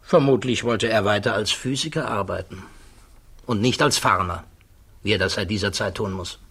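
An actor playing a principled, quietly defiant physicist under interrogation, speaking deliberately and precisely in the style of 1960s German radio drama. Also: male voice, on the low side, breathy edge. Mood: measured. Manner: deliberate, precise, formally articulate.

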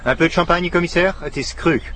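An actor playing a suave, wealthy, effusive husband with a French accent in a radio drama. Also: male voice, on the high side, fast talker, crowd noise, close to an everyday voice. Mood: genial, suave. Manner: effusive, peppering talk with French. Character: suave wealthy French-speaking husband, genial, affected host.

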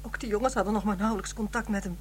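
A woman's voice: mid-range voice, steady tone, racing, slight background noise, lightly acted. An actress playing a proud, bitter widow in her fifties, curt and formal, in a radio drama. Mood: subdued grief. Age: 50s.

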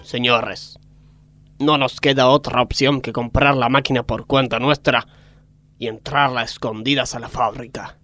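A man's voice: mid-range voice, steady tone, normal speed, pushed and bright, soft background music, lightly acted. A man with an Argentine accent playing an earnest, scheming factory worker in a comedy radio sketch.

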